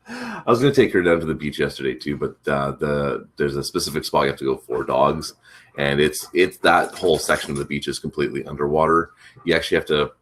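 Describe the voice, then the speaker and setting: A man hosting a casual morning chat, rambling with many fillers, his voice congested and a bit rough from allergies. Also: rumbling low, expressive, fairly quick, fairly bright tone. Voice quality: congested, slightly rough throat. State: stuffed up from allergies. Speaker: vlog host.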